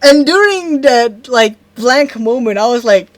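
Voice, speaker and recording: A woman's voice: a little high, expressive, a little slow, dry recording. A young woman from Indonesia with an Indonesian accent, telling a story in a casual vlog, rambling, stopping to correct herself and often asking "right?". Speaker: vlogger.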